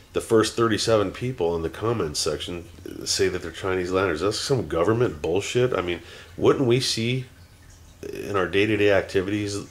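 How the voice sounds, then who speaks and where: A man in his forties talking unscripted to a camera at home, rambling with many fillers and restarts and turning heated. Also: sits low. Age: 40s. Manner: grows heated and ranting. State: teary-eyed. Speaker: vlogger.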